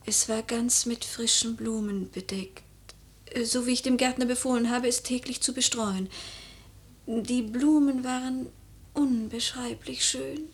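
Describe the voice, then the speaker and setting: High-voiced, imperious noble widow. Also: female voice, fairly even intonation, unhurried. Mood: troubled, hushed. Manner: haughty, dramatic, whispery at times. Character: imperious, troubled noble widow haunted by a dream. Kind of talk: classical German radio drama acting.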